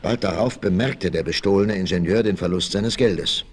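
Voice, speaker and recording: A man's voice: low-pitched, normal speed. A man narrating a scripted adventure story for a 1960s radio play. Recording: slightly noisy old vinyl record.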